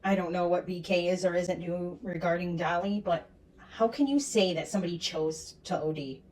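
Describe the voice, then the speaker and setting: A woman's voice: on the low side, moderate pace, a little mellow, recorded from a distance, low-quality recording. A woman giving critical reaction commentary in a sarcastic, disapproving manner. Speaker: commentator reacting to clips of a streamer.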